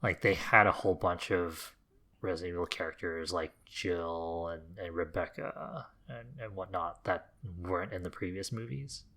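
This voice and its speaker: Deep-voiced, bright-toned man chatting casually. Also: moderate pace. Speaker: podcast co-host. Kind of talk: casual chat about video games.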